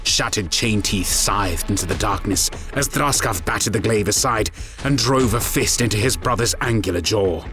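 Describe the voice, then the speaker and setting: Very deep male dramatic narrator. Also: moderate pace. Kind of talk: dramatic scripted story narration. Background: music.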